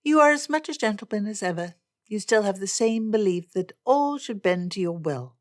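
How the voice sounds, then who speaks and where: A woman voicing a spirited, stubborn young gentlewoman in a period romance audiobook, speaking in emphatic, impassioned formal phrases. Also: medium pitch, lively intonation, normal speed, no echo, studio quality, pitched above the performer's own voice, lightly acted. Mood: indignant.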